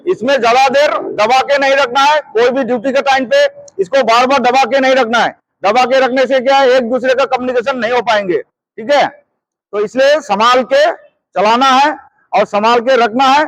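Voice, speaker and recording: A man's voice: very high voice, normal speed, fairly bright tone, dry recording, rough recording. A man teaching as a security trainer, repeating points and often checking that listeners follow. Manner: repetitive, checks understanding often. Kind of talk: hands-on instructional lesson.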